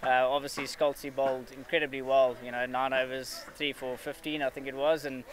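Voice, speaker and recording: A man in his thirties answering questions after a match, measured and steady, with frequent fillers and restarts. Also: medium pitch, rough recording. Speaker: cricket player at a press conference. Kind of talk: post-match press interview. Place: outdoor press area with a backdrop.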